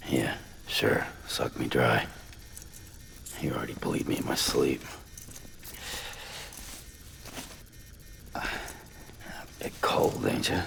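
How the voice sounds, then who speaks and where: An actor playing a gruff, weary courier in a video game, terse and drawling with a gravelly voice. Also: male voice, extremely low pitch, takes it slowly, fairly bright tone, slight background noise, heavily acted. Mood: sardonic. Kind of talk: video game cutscene acting.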